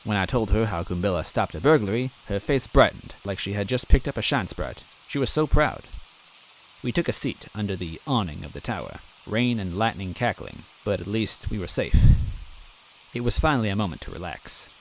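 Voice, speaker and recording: A man with a fairly low voice narrating a fan story in first person. He reads in an earnest, timid, self-deprecating way, with faint rain sounding in the background. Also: animated, fairly quick, slight background noise, low-quality recording. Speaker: story reader.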